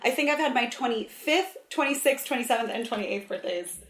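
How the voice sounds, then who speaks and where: A woman's voice: a little high, expressive, a little fast, fairly bright tone. Playful young woman, echoey room. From Southern California. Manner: playful, chatty storyteller. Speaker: guest, Hillel director of engagement. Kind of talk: casual interview.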